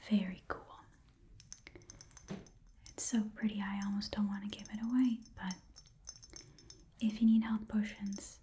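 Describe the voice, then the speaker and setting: A woman voicing an easygoing goblin pawn shopkeeper in a soft, breathy whispered ASMR roleplay, haggling in a casual, rambling way. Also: medium pitch, flat delivery, unhurried, long pauses, slight background noise, lightly acted. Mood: casual, helpful.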